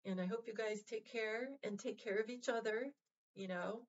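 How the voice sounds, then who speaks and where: A woman hosting a vlog, talking to her viewers in a casual, heartfelt way, gentle and reassuring, with lots of fillers and restarts. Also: a little high, fairly even intonation, moderate pace, dull audio. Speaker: vlog host.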